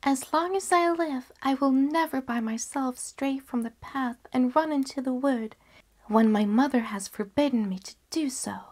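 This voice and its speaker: A woman voicing a timid, innocent little girl in a storybook reading, with breathy, drawn-out wonder. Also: high-pitched, a little mellow, voice pushed up. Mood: solemn resolve.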